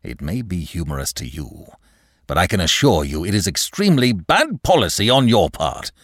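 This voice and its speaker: A man's voice: low-pitched, sing-song, moderate pace, no echo, studio quality. A man voicing a pompous, exasperated bank manager for an audiobook, with stiff, indignant, scolding formal speech. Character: pompous, exasperated male bank manager, stern and easily angered. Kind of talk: audiobook character dialogue.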